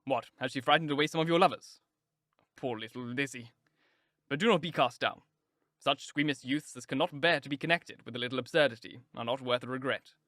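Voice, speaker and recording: Male narrator as a wry, sardonic father, bright dry delivery. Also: mid-range voice, fairly quick, no room sound, close to an everyday voice. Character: wry middle-aged father, dry and teasing.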